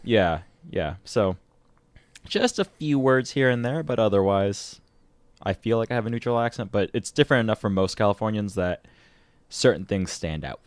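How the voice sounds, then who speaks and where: A man's voice: fairly low pitch, animated, normal speed, no echo. A man from Michigan with a slight northern Midwestern accent, co-hosting a review podcast in an easygoing way, often repeating words. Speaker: podcast co-host. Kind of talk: casual review chat.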